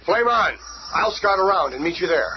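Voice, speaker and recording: An actor playing an eager, cocky, boyish young hero in a radio drama, with an excitable manner. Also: male voice, sits high, moderate pace. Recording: muffled, very noisy old radio recording.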